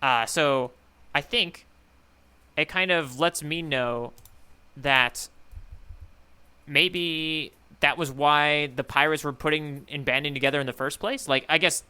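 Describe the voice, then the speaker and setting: A man with a strikingly bright, smooth voice, pitched a little high. He co-hosts a casual podcast and talks in a tentative way, working out his thoughts as he goes. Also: slow pace, pauses often. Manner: tentative, thinking aloud. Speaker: podcast co-host, newcomer reader giving theories.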